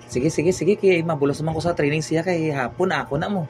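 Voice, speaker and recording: Man voicing an easygoing coworker, rapid talker, higher voice, loud music under. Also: lightly acted. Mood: casual. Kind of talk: amateur audio drama.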